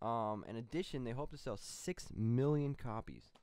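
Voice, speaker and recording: A man's voice: mid-range voice, moderate pace, darkish tone, clean tone. Rambling young man reading gaming news. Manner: rambling, loose delivery with many fillers. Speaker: co-host of a gaming news show. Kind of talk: casual gaming news chat. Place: small home studio room. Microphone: studio mic on a boom arm.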